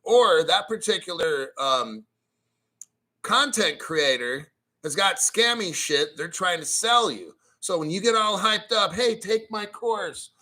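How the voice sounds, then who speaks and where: A man hosting a crypto livestream, ranting bluntly and profanely, clearing his throat often and reading viewer comments aloud. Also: sits high, lively intonation, takes it slowly, pushed and bright. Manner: reads viewer chat donations aloud. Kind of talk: casual livestream rant.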